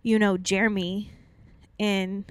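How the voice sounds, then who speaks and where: A woman's voice: medium pitch, level tone, takes it slowly, smooth, dry recording. Chatty young woman, lots of "like". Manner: chatty, long-winded, laughs often, many "like" fillers. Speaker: podcast co-host. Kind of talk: casual chat.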